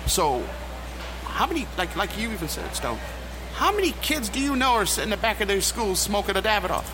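A man's voice: high voice, sing-song, fairly quick. A young adult man talking emphatically and rhetorically in a casual podcast panel, recorded in a lounge. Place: cigar lounge. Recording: clearly noisy lounge recording.

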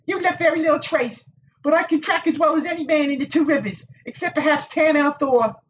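A man reading an audiobook voices a stubborn, sharp-tongued young village woman with defiant retorts. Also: very high voice, a little fast, distant mic, lo-fi sound, voice pushed up.

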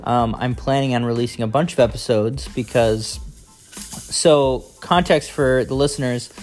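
A man hosting a podcast, chatting casually with a loud, resonant voice, recorded on a phone in a living room. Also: medium pitch, a little slow, faint music behind. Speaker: podcast host. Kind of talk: casual chat.

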